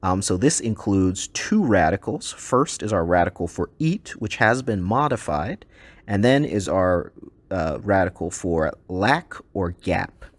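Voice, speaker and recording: Deep-voiced man teaching with ums. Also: unhurried, dry recording. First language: English. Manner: relaxed explaining with frequent ums and uhs. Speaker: language teacher. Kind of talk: recorded slide lesson.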